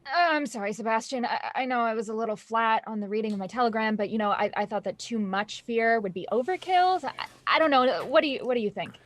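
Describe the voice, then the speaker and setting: A woman's voice: on the high side, fast, hardly any pauses, close to an everyday voice. A woman playing a shy, apologetic young actress. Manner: hesitant, apologetic, stammering.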